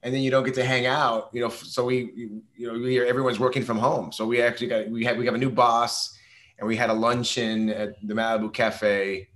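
Hoarse man, rambling casual chat. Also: medium pitch, flat delivery, brisk, fairly bright tone. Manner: rambling, many fillers and restarts. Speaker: podcast co-host.

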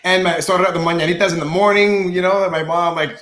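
Hoarse male co-host, easygoing, tense bright edge, slightly high pitch. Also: steady tone, quick pace. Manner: laid-back, heavy "like" and "you know" fillers. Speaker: podcast co-host. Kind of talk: casual chat. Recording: echoey room.

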